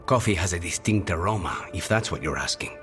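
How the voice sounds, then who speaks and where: Game casting: a dry professional assassin, low voice with wide melodic swings, music underneath. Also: male voice, animated, brisk, fairly bright tone. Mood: calm, frank. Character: dry, professional contract killer who loves cooking and coffee. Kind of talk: video game cutscene acting.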